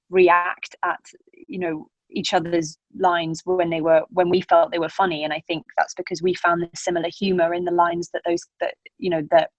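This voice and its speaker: A woman in her twenties, guest on an interview podcast, speaking in an animated, chatty way with a British accent mixing Northern Irish, Scottish and Manchester traces, often laughing as she talks. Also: lowish voice, no room sound, dull audio. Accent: British mix with Northern Irish, Scottish and Manchester traces. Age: 20s. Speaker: actor guest. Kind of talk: casual interview chat.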